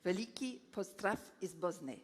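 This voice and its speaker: A woman's voice: fairly low pitch, lively intonation, measured pace, breathy edge. A woman hosting a launch event who moderates questions in a playful, teasing way. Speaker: event host. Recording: reverberant hall.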